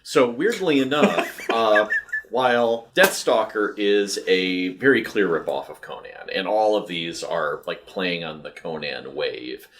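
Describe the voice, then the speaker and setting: A man with a low-pitched voice co-hosts a casual film discussion. He talks like an enthusiast, wandering into detailed asides as he goes. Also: unhurried, fairly bright tone, slight background noise. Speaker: podcast co-host.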